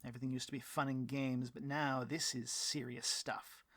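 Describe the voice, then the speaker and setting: Man playing a pompous, husky-voiced supervillain boss. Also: medium pitch, flat delivery, normal speed, a bit bright, no echo, lightly acted. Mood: grudging, musing. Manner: grandiose, melodramatic, petulant speechifying. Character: pompous, bloviating, vain, easily riled supervillain boss. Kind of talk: comedic audio roleplay, theatrical monologue.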